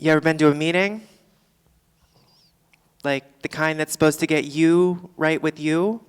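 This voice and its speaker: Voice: mid-range voice, unhurried, clear, resonant tone, natural voice. Performer playing a boozy, world-weary female stand-up comic, echoey hall. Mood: rueful, rambling. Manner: rambling, deadpan, theatrical, swinging between asides and outbursts. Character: Karen Davis, boozy world-weary self-mocking middle-aged female stand-up comic. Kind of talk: live stand-up monologue in a staged play reading. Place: on stage in a theatre. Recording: reverberant theatre hall, live stage.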